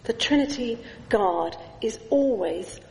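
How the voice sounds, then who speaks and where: A middle-aged woman preaching a sermon in a church, measured, with slow emphatic lists. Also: on the high side, expressive, gravelly, a little mellow. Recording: echoey church hall.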